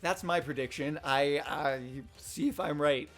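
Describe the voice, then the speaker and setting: Slightly hoarse man rambling casually. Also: fairly high pitch, unhurried, fairly bright tone, soft background music. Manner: rambling, many fillers and restarts. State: slightly hoarse, losing his voice. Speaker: manga review vlogger. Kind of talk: casual unscripted commentary.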